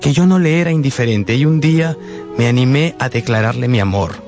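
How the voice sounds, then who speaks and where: A man playing a heartfelt narrator who tells his own youth in a scripted radio drama, with an expressive storytelling delivery. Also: mid-range voice, fairly quick, lightly acted. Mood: heartfelt, reflective. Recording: music behind the narration.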